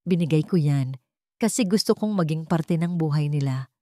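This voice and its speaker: A woman's voice: sits low, animated, normal speed, dark tone, dry recording, studio quality. Woman voicing an earnest young heiress CEO. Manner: earnest, tearful.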